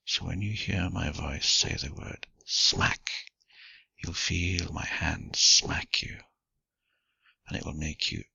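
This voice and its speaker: A man with a husky, gravelly voice giving intimate erotic hypnosis guidance in a slow, deliberate, breathy and often whispered delivery, recorded close and dry with dull sound. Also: low-pitched, pushed and bright, dry recording.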